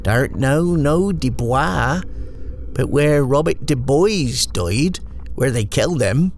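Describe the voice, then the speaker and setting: Eerie storybook crone, played by a man: rustic English dialect, gravelly, wary, superstitious, slow ominous muttering. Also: mid-range voice, expressive, slight background noise, voice pushed up. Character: eerie old village woman, wary and superstitious.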